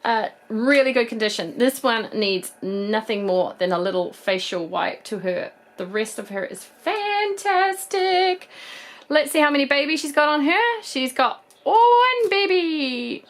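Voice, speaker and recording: A woman, casually showing off secondhand plush toys in a chatty, gushing way. Her voice is a bit croaky from a cold. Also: high voice, expressive, measured pace. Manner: chatty, gushing over toys, frequent ums. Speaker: toy collector vlogger. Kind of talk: casual haul show-and-tell.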